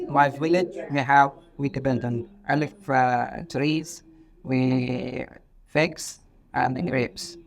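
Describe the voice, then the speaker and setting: Man, slow and halting, fairly high, crowd chatter around him. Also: creaky. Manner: emphatic, halting broken English. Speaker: interviewee. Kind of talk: personal testimony.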